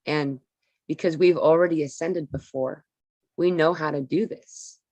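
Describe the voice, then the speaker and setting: A woman with a low voice talks earnestly about spiritual ideas. She explains in long, flowing run-on sentences. The sound is dry and close. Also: fairly even intonation, a little slow, dark tone, dry recording. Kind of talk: casual spiritual talk.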